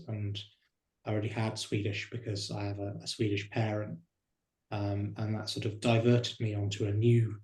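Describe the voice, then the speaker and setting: A man's voice: on the low side, darkish tone, reverberant. A male translator answering on a panel, dry and self-deprecating.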